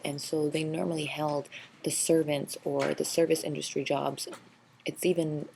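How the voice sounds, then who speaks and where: A woman's voice: low-pitched, creaky, darkish tone, a little hiss or hum, poor audio. A woman teacher narrating a slideshow review lecture, explaining steadily with frequent ums and uhs. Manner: explanatory, filler-heavy with frequent um and uh. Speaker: history teacher.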